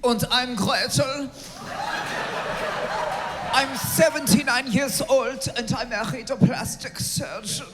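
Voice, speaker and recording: A man playing Gretel, a brash, boastful old woman, shouting in a live stage comedy skit. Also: very high-pitched, fairly even intonation, slow, pauses often. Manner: shouting, brassy. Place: theater stage. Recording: hall reverb with audience noise.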